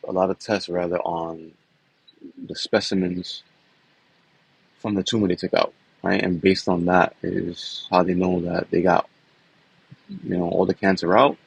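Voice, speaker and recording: A man's voice: deep voice, vocal fry, breathy edge, poor audio. A man, talking in a loose, casual way and often tagging his sentences with "man" and "you know.". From New York City. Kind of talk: casual personal vlog talk.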